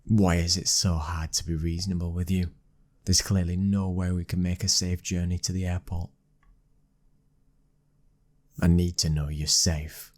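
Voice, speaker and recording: A British man in an ASMR boyfriend roleplay, playing a commanding, protective young mafia boss's son with a low, husky, controlled voice. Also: flat delivery, moderate pace, lightly acted. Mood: stern, protective.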